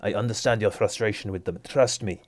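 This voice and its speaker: Man doing a stern noble lord, measured and dismissive, low voice, dry close sound. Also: dry recording, natural voice. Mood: patient. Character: stern aristocratic male lord judge. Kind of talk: tabletop roleplay character voice.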